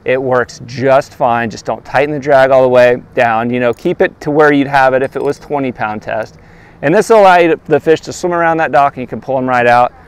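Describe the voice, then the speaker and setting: A man in his thirties, a fishing guide, showing his gear outdoors by a lake and talking straight to the camera in a relaxed, practical way. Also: mid-range voice, brisk, clean tone. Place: outdoors by a lakeshore. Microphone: lapel mic.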